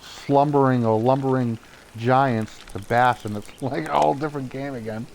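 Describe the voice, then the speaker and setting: A young man with a Boston accent, chatting casually as he streams a fishing game, getting excited at each good catch and laughing often. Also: mid-range voice, normal speed, vocal fry, slight background noise. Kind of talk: casual live gameplay commentary.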